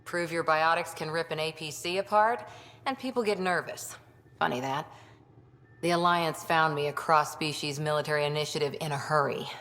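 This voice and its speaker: A tough female soldier from a video game, low in pitch and blunt. She talks with a wry edge, in a space with long reverb. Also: normal speed, fairly bright tone. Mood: guarded, reflective. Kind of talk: video game cutscene acting.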